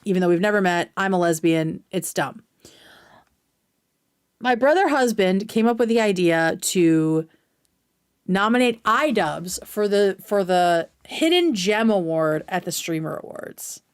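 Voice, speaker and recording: A woman streamer talks casually at a middle pitch, giving opinionated commentary. She is blunt and swears freely, in the manner of an unscripted livestream. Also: lively intonation, a little slow, clear, resonant tone. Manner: blunt, profane. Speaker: streamer, host.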